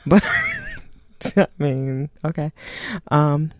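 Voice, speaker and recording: A woman's voice: low voice, huge pitch swings, very slow, no echo, clean studio sound. A woman co-hosting a casual radio chat, joking and laid-back with lots of laughter. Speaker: co-host.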